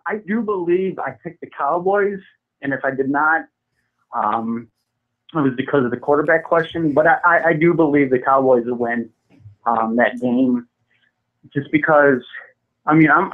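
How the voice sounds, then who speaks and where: A man from the Buffalo area calls in over a thin, tinny phone line. His voice sits fairly high, and he talks in a laid-back way, chuckling and restarting his sentences. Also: normal speed. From Buffalo area, New York. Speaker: call-in guest. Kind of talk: casual phone-in chat.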